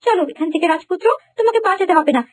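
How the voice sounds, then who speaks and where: A woman's voice: very high-pitched, very fast, darkish tone, slight background noise. A woman voicing a haughty, snobbish young bride of a prince in a cartoon fairy tale, imperious and nagging. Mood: disdainful, bossy.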